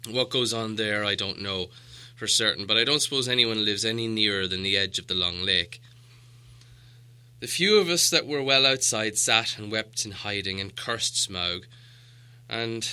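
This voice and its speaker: A man's voice: fairly low pitch, pushed and bright, smooth. A man voicing a proud, solemn old dwarf leader in an audiobook, with a grave, grandiose storytelling manner. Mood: grim, mournful. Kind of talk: audiobook character dialogue.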